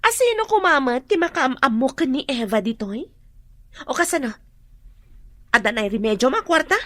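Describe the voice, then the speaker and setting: An actress playing an anxious, sharp-tongued woman searching for a missing girl, agitated and scolding in a radio drama. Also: high voice, lively intonation, moderate pace, no room sound, studio quality.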